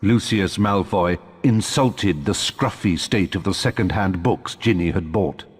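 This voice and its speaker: A man's voice: deep voice, a little slow, husky. A man reading scripted storybook narration in a recording with long reverb.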